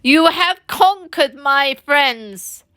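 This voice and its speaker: A woman's voice: sits high, slow pace, bright, forceful tone, pitched above the performer's own voice, heavily acted. A woman voicing a vain, boastful male toad who speaks in a theatrical, self-pitying way. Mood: mournful.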